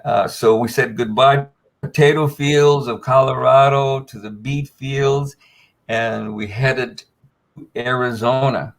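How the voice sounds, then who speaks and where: A man's voice: mid-range voice, steady tone, a little slow, vocal fry. An older man, telling a long personal story as a guest on a panel, with many fillers and restarts. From United States.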